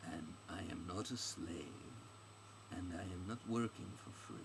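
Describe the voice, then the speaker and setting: A man's voice: deep voice, unhurried. A man voicing a slight young local guide in a fantasy story, stubborn and formal, with stilted foreign phrasing. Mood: firm, guarded. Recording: clearly noisy recording with ambient background.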